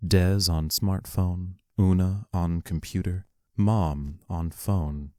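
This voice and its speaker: Man, low voice, slow deliberate pace, plain scripted narration of stage directions, dry sound. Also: steady tone, dark tone, no room sound.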